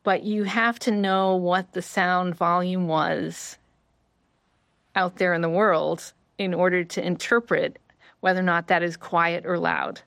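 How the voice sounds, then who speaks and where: A woman's voice: on the low side, steady tone, a little slow, creaky, fairly bright tone, no echo, clean studio sound. A woman from rural Vermont, a scientist guest, explaining ideas casually and filling her sentences with "you know" and "like".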